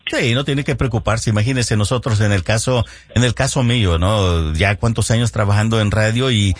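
A man hosting a radio show, talking straight to his listeners in a calm, reassuring way and repeating his key points. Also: lowish voice, normal speed. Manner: reassuring, repetitive, addressing listeners directly. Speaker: radio host. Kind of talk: radio call-in advice.

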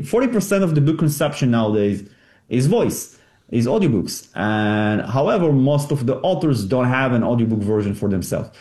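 Israeli-accented man, mellow and echoey. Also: medium pitch. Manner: earnest, repeats words when restarting. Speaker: company CEO guest. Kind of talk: interview answers.

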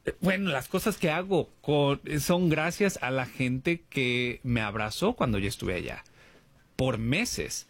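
A man's voice: medium pitch, animated, unhurried, dry recording. A US-born man with a Mexican-American Spanish accent hosting a radio talk show, his words sometimes tumbling. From United States. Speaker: radio host. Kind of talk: casual radio chat.

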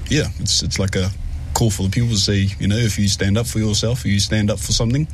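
Laid-back low-voiced New Zealand man. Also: fairly even intonation, fast talker, a little hiss or hum. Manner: laid-back, says bro, many ums. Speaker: singer, band member. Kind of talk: relaxed interview answers.

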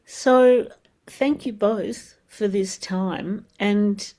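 A woman hosting a radio music show, warmly enthusiastic as she talks with her guests. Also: medium pitch, drawn-out words. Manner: enthusiastic, encouraging. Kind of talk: radio interview.

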